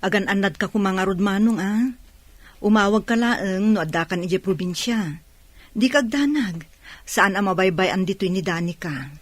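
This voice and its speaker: Woman as caring watchful mother, audio drama acting, medium pitch, dry sound. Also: normal speed, no echo, lightly acted. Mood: reassuring.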